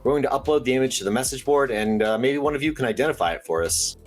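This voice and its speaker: An actor playing an eccentric, conspiracy-minded late-night radio host in an audio drama, theatrical, folksy and rambling. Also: male voice, medium pitch, quick pace, faint music behind, close to an everyday voice. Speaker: radio call-in show host.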